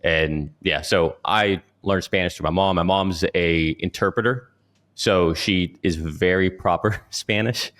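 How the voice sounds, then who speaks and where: A man's voice: low voice, steady tone, a little slow, fairly bright tone. A man from Chile who grew up in the US, a guest in a casual podcast chat, talking in an easygoing way.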